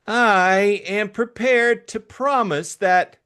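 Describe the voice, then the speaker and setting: A man voicing a blandly polite, menacing male client, speaking in a formal, precise and deliberate way. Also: high-pitched, pushed and bright, clean tone, voice pushed up, exaggerated voice.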